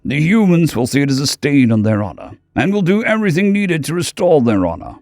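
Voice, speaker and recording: A man's voice: on the high side, sing-song, a little fast, darkish tone, no room sound, clean studio sound, pitched above the performer's own voice. A man voicing a stern alien fixer. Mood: urgent. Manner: stern, lecturing. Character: alien male tavern fixer, wary and blunt.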